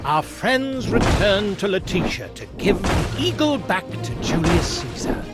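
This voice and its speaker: An actor playing a storybook narrator of a comic adventure, reading scripted narration. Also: male voice, sits high, very animated, unhurried. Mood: storytelling. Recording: loud music under the voice.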